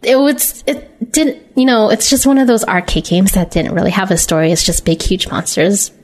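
Female podcast co-host, medium pitch, informal and conversational delivery. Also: steady tone, moderate pace. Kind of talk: casual chat about games and films.

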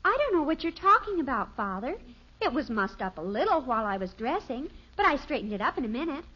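A high, lively voice on a muffled old radio recording: an American sitcom teenage daughter. She is prim and breezy, sure of herself and stubbornly insistent. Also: fast talker, breathy edge, full character voice. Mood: breezily innocent. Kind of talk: 1950s radio sitcom acting.

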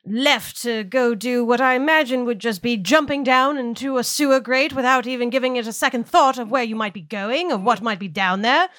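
A woman's voice: a little high, moderate pace, hardly any pauses, fairly bright tone, dry recording, clean studio sound, lightly acted. A woman playing a haughty, prim wealthy lady in a tabletop game, with pompous, formal phrasing. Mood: airy, poised.

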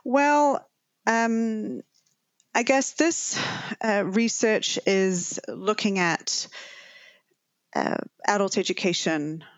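A woman's voice: mid-range voice, no room sound, muffled recording. A woman with a New Zealand accent, a professor answering interview questions thoughtfully with frequent restarts. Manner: thoughtful, many restarts and fillers.

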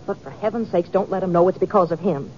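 A woman playing an excitable, bossy stage actress in a 1950s radio drama, urgent in manner. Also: fairly low pitch, fast, close to an everyday voice. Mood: bossy, hurried. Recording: muffled, noisy 1950s radio recording.

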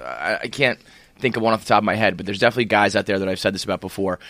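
Bright-voiced young man, excitable chat. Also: lowish voice, rapid-fire, dry recording. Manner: excitable, swears freely, repeats words. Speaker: podcast co-host. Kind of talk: casual chat.

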